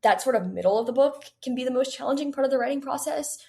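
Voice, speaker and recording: A woman's voice: high voice, fast talker, creaky, warm tone. An eighteen-year-old woman speaking as a guest in a casual interview, rambling with frequent "like" and bits of quoted self-talk. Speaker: guest author. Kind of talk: casual interview chat about writing.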